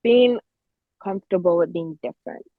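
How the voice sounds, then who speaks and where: Casting: woman, 20s, podcast guest, slightly low voice, casual and conversational, close dry sound that is a touch dull. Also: normal speed, no echo, faint music behind. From Nigeria, grew up mostly in Canada. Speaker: podcast guest, fashion designer. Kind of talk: casual interview chat.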